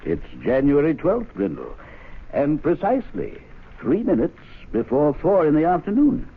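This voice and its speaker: Pompous clubman, lively husky voice. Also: fairly high pitch, exaggerated voice. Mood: archly probing. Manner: formal, arch, deliberate wording. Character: urbane, pompous president of a gentlemen's club. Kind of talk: radio drama acting. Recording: tinny old radio broadcast.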